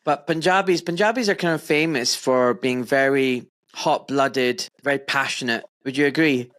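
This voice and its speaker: A man's voice: medium pitch, moderate pace, fairly bright tone. A man hosting a podcast over a remote call, chatting casually and animatedly with friendly slang and laughter. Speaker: podcast host. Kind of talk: casual interview chat.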